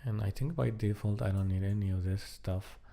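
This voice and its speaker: A man giving casual live-coding commentary, thinking aloud in a halting, muttering way as he works. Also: fairly low pitch, fairly even intonation, gravelly, warm tone. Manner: halting, muttering to himself while working. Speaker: programmer live-streaming a coding project.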